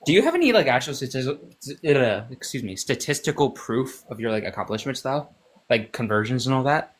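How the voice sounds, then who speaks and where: A man's voice: medium pitch, sing-song, brisk. Hesitant, skeptical man. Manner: hesitant, skeptical questioning with fillers. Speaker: debate opponent. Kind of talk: comedic debate skit.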